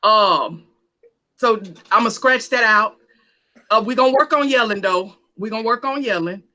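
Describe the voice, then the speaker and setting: A woman hosting a church panel discussion, lively and preacherly, repeating her phrases. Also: on the high side, moderate pace.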